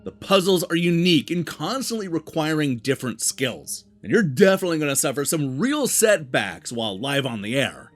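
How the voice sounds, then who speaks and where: A man delivering a scripted video game review as the show's host, with emphatic, deliberate phrasing. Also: on the high side, very animated. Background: background music.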